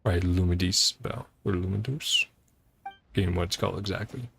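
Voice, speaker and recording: A woman's voice: rumbling low, moderate pace, gravelly, heavy background noise. A woman game streamer giving casual, chatty commentary and reading dialogue aloud as she plays, sometimes trailing off. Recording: music playing behind the voice.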